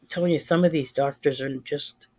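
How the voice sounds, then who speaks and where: A woman's voice: deep voice, fairly even intonation, brisk, darkish tone. Plain-spoken woman in her fifties. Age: 50s. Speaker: livestream host. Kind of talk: casual chat with live viewers.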